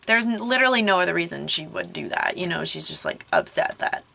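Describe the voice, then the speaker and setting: A young woman vlogger, slightly hoarse from losing her voice, ranting casually to a camera propped up in her closet, full of "like" and "um". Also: on the low side, lively intonation, fast talker, bright, forceful tone. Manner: chatty, frequent "like" and "um", rising frustration. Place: small closet at home, clothes all around. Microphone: camera propped up on a crib.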